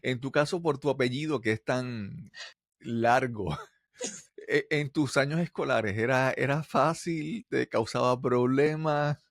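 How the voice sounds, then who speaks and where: A man's voice: medium pitch, slow, no echo, studio quality. A man hosting a podcast interview, asking questions in a hesitant way with many restarts. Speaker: podcast host.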